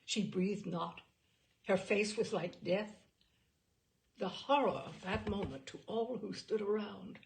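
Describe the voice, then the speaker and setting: Female audiobook narrator, mid-pitched with plenty of gravelly fry; expressive, deliberate delivery of period literary fiction. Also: a little hiss or hum, low-quality recording.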